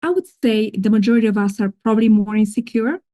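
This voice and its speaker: A woman's voice: on the high side, fast, mellow. A woman with a foreign accent, a podcast guest, telling her own story in an earnest, reflective way. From grew up in another country. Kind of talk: casual interview talk.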